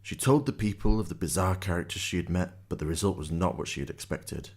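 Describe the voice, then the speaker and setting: Male storyteller for radio drama; low-pitched, scripted narration. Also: fast talker, husky.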